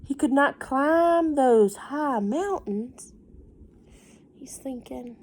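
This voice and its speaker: A woman reads a storybook aloud like a teacher with a class of little ones. Her pitch moves up and down in a lively way as she shifts between the text and gentle, chatty prompts. There is clear noise behind her. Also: extremely slow. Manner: expressive, gentle teacherly talk, prompting listeners with questions. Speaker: teacher reading a picture book aloud. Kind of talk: storybook read-aloud with chatty asides to young children.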